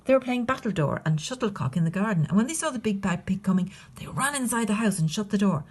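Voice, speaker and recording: Woman, children's storybook narration, animated swooping intonation, slightly husky, dramatic, hushed phrase endings. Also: medium pitch, quick pace. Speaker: librarian storyteller.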